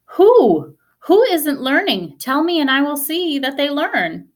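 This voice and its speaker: An eager, proud school principal from a children's storybook, acted out by a woman. The voice is raised in pitch and announces things grandly, leaning hard on key words. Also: big pitch swings, slow, heavily acted. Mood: worried. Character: proud, enthusiastic male school principal, fond of learning.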